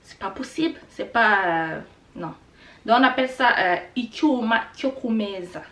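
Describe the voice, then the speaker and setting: A woman's voice: on the high side, animated, unhurried, a bit bright. A middle-aged woman, a Kinyarwanda speaker, teaching words in a chatty, repetitive way with many fillers. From Rwanda. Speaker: language teacher vlogger. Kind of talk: casual teaching vlog. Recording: echoey room, a bit far from the mic.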